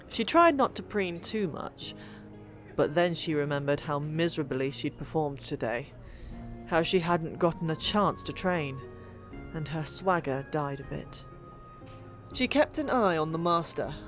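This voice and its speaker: A woman narrating a fantasy audiobook in an expressive, deliberate storytelling manner. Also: low voice. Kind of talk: dramatic scripted fiction narration. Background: background music.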